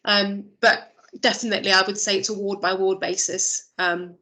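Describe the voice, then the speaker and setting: A woman's voice: mid-range voice, flat delivery, moderate pace, bright, forceful tone. A woman chairing an online meeting, thinking aloud with fillers and restarts, her voice a little worn. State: losing her voice. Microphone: video call.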